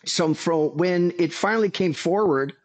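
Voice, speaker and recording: A man with a fairly high voice speaks as a guest on an interview show. He is a master stylist who owns a salon. He tells his stories in a measured way. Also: faint music behind. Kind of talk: casual interview talk.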